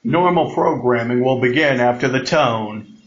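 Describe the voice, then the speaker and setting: Slow, halting man in an echoey room. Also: medium pitch. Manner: halting, repeats and restarts phrases; mimics alert tones with spoken sounds. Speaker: amateur announcer of a mock emergency alert. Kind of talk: improvised mock emergency broadcast announcement. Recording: muffled, echoey room recording.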